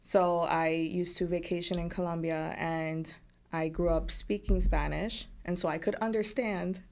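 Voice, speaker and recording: A woman's voice: on the low side, darkish tone. A woman dietitian who grew up in the United States, a guest speaking in an upbeat, casual way and laughing often. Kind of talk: casual interview talk.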